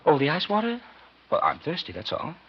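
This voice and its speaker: Husky, smug American blackmailer. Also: male voice, fairly high pitch, huge pitch swings, a little fast, fairly bright tone, heavily acted. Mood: sly. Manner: sly, insinuating, slangy. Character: smug scheming male blackmailer, fired insurance investigator. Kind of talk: 1940s radio acting. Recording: muffled 1940s radio recording.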